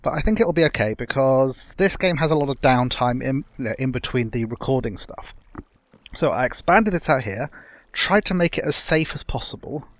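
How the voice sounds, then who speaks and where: Young male let's-play host, slightly croaky, rambling casual commentary, dry close sound. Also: medium pitch, no room sound. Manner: rambling, self-correcting, chatty.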